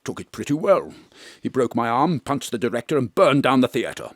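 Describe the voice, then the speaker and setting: A man playing a pompous, booming old ham actor. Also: big pitch swings, moderate pace, dry recording, studio quality. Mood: melodramatic. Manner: bombastic, theatrical, swings from booming to hushed. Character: pompous grand old male stage actor, florid and melodramatic. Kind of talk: live remote audio drama acting. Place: home studio.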